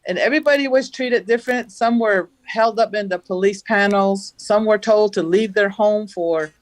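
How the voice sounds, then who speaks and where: A woman from the Navajo Nation, a farmer, recounting events in a studio interview with a loud voice and an animated telling. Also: mid-range voice, normal speed, no room sound, low-quality recording. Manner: animated, acts out the dialogue she recounts. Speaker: farmer, guest.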